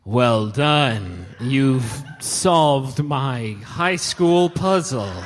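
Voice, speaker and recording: A man voicing a sinister, gloating dream villain with a slow, menacing delivery. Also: mid-range voice, expressive, background noise, pitched below the performer's own voice. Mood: gloating menace. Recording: echoey hall, live show with music.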